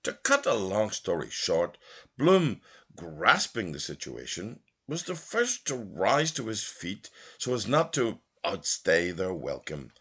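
A man from Belfast reads literary prose aloud in a measured, theatrical narrating style. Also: on the low side, huge pitch swings, fairly bright tone, breathy edge. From Belfast, Northern Ireland. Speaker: literary reader. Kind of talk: expressive literary audiobook narration.

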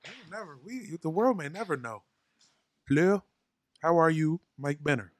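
A man co-hosting a podcast in casual comedic chat, cracking deadpan jokes. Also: fairly high pitch, measured pace. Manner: joking, deadpan asides. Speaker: podcast co-host.

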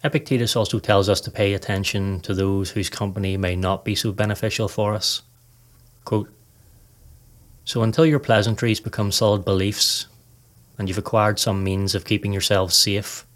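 A man with a fairly low voice leads a guided meditation from a script. His delivery is calm and measured, with very little rise and fall in pitch. He gives gentle instructions as a podcast host would to listeners.

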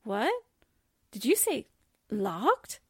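A woman playing a plucky, slangy young sidekick reporter in a 1940s-style radio drama, breezy and expressive. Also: mid-range voice, very slow, slightly rough, no echo, studio quality, exaggerated voice. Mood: alarmed.

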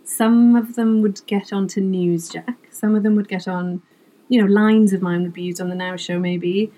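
A middle-aged Welsh-speaking woman from Wales chats easily in an interview, quick to laugh at herself. Her voice sits at an ordinary middle pitch. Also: fairly even intonation, dark tone, poor audio. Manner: chatty, self-deprecating, laughs often. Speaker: comedy writer, guest. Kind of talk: casual interview chat.

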